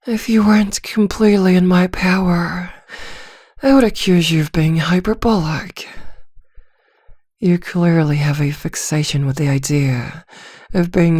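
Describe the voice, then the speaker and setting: Slow, soft, seductive vampire villainess played by a woman. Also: low voice, no room sound, studio quality. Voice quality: soft, often dropping into whispers. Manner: teasing, commanding, hypnotic, drawn-out phrasing. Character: seductive, arrogant vampire villainess posing as therapist. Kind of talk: intimate ASMR roleplay.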